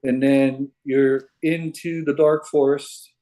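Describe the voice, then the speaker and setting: A man from Hawaii on a video call, explaining his puzzle theories in a halting, unhurried way. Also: medium pitch, level tone, mellow. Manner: unhurried, halting, restarts often. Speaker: caller sharing puzzle theories. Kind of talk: casual video call chat. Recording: video call audio, breaking up.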